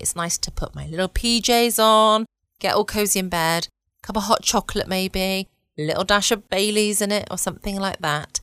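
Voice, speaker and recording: A woman's voice: lowish voice, fairly bright tone, smooth, no room sound, clean studio sound. A woman with an English accent hosting a late-night bedtime podcast in a cosy, chatty way with playful asides. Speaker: podcast host. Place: at home, close and dry-sounding room.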